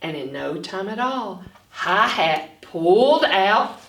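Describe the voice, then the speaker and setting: A woman reading and teaching phonics to young children in an expressive, instructional way, sounding out words. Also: mid-range voice, slow pace. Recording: roomy echo, distant from mic.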